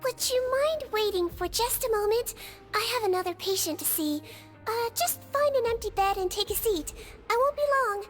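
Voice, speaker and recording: A woman voicing a small, gentle, cheerful nurse creature in a video game, sweet and reassuring. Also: extremely high pitch, animated, moderate pace, faint music behind. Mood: polite, cheerful.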